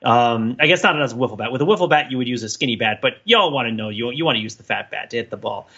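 Give a man with a bright, forceful voice and a middling pitch, talking casually as a podcast co-host. He explains in loops and digressions, often checking with "right?", on a slightly dull recording. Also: fast, husky. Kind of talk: casual discussion.

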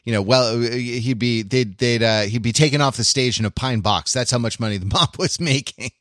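A man's voice: medium pitch, brisk, fairly bright tone, dry recording. Dry, close-sounding man hosting a casual podcast. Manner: rambling, digressive, wry. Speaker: podcast host. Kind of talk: casual comedic podcast chat.

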